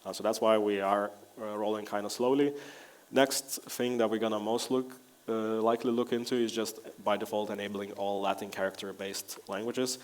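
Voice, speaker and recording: Non-native male presenter, Lithuanian first language, lower register, relaxed tech demo delivery full of fillers. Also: moderate pace. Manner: frequent "uh" fillers, restarts, relaxed and wry. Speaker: conference presenter. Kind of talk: technical conference talk with live demo. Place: conference hall.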